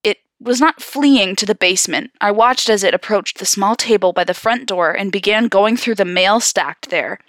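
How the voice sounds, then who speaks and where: A woman's voice: medium pitch, normal speed, hardly any pauses, no echo. A woman podcast host reading horror stories aloud, with casual, amused asides. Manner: giggly, animated in asides.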